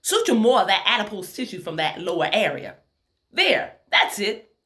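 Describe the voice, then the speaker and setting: A woman's voice: mid-range voice, big pitch swings, normal speed, pushed and bright, voice pushed up. A woman voicing an exacting, proud female plastic surgeon with a Tennessee accent, in a commanding, emphatic, folksy way.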